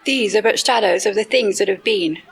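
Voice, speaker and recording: A woman playing a gentle, solemn, childlike spirit in an audio drama, speaking in slow, otherworldly pronouncements. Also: medium pitch, vocal fry, slightly rough. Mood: solemn, probing.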